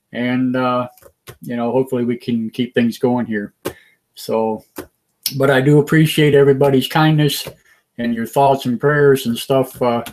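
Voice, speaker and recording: An older man with a raspy voice, hosting a call-in radio talk show in an easygoing way full of filler "uh"s and small chuckles. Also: mid-range voice. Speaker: host.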